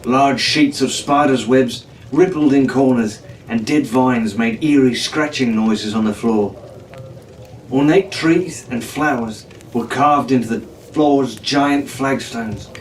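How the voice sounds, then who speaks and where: A man's voice: medium pitch, takes it slowly. A man reading a pirate adventure story aloud as a dramatic storyteller. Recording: echoey room, distant mic, faint noise.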